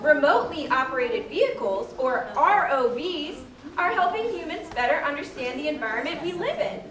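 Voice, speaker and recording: Woman playing a high, animated, curious young explorer. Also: normal speed. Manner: wide-eyed, animated questioning. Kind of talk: live children's puppet show acting. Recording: echoey hall, distant mic, audience noise.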